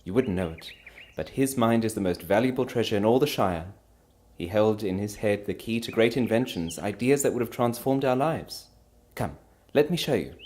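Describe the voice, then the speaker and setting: A man's voice: fairly low pitch, animated, normal speed, a little mellow, pitched above the performer's own voice, natural voice. An impassioned storybook wife , acted out by a man, proud and defiant through her anguish. She speaks emphatically, her voice trembling with feeling as she stands up for the husband she loves. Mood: proud, wistful. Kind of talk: dramatic storybook character reading.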